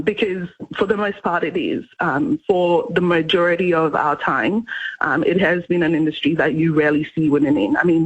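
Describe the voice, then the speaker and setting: A woman from Ghana with a husky voice speaks as an interview guest on the radio. She explains things earnestly in a conversational way, and the audio is slightly dull and muffled. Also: low voice.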